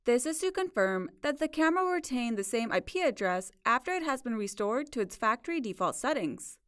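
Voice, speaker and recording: A woman narrating a scripted software tutorial in a measured, instructional way. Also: on the high side, sing-song, no echo, clean studio sound. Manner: clear, measured instructional delivery. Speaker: support video narrator.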